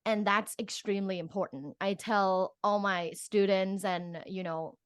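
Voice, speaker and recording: A woman's voice: mid-range voice, steady tone, a little slow, clean tone, dry recording, studio quality. A woman from Thailand speaking as an expert guest in a casual interview, informal and peppering her speech with "like". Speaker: guest, professor and sexologist.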